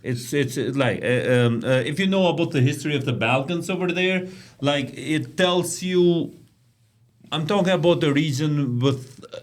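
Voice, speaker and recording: A man's voice: on the high side, moderate pace. Enthusiastic man. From Kosovo. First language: Albanian. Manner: enthusiastic, repeats words, non-native phrasing. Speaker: restaurant owner, guest. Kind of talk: casual interview chat.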